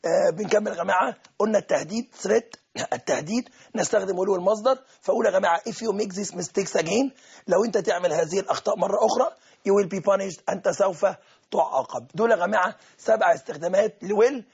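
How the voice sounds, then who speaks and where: Man, Egyptian Arabic accent, high voice, raspy, teacher explaining grammar phrase by phrase. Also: fast, a little mellow, highs cut off. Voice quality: husky, gravelly voice with frequent throat-clearing. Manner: step-by-step explaining, translating each phrase, addressing the class. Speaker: English teacher.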